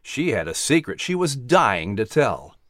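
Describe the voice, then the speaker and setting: A man narrating a children's story as announcer, with expressive, scripted storytelling delivery. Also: medium pitch, measured pace, dry recording, studio quality.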